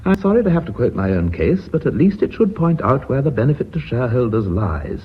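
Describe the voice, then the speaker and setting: An actor playing a blunt, authoritative company chairman in a radio drama, with an English accent and formal, measured, courteous speech. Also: mid-range voice, big pitch swings, breathy edge. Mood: firm, reasoning. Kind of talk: BBC radio drama acting. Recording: muffled phone-like old radio recording, hiss.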